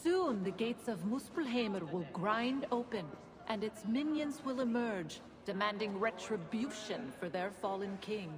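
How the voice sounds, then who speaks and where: An actress playing a proud, sardonic Norse woman bearing ominous news in a video game, measured and taunting. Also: medium pitch, expressive, long reverb tail, crowd noise, lightly acted. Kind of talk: dramatic cinematic video game acting.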